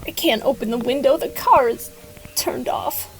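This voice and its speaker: A woman's voice: high voice, moderate pace, slight background noise, natural voice, poor audio. A woman playing a frightened, grieving widow in an audio horror drama, hesitant and pleading, repeating herself. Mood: scared, pleading.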